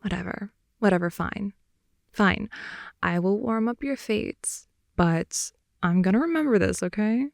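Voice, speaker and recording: A woman improvising an intimate ASMR roleplay as a playful, affectionate girlfriend in bed, speaking softly, often in whispers and sighs, close to the microphone. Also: mid-range voice, unhurried, creaky, no echo. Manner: soft, often whispery, sighing, teasing. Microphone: close microphone, dry intimate sound.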